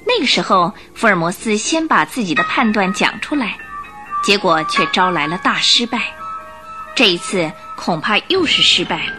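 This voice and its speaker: Female narrator for a radio mystery drama, lively dramatic storytelling, animated pitch, clear music behind. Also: normal speed.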